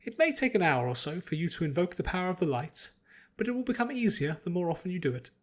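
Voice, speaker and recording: A man voicing a formal, patient older priest who instructs a pupil in a measured way, in an audiobook story. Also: high voice, sing-song. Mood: solemn, encouraging. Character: elderly male priest trainer, formal and patient. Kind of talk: dramatised audiobook character voice.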